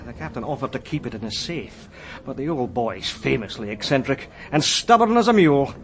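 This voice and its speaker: An actor playing a knowing surgeon passenger who speaks in a measured, confiding way, in a radio drama. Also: male voice, medium pitch, very animated, a bit bright.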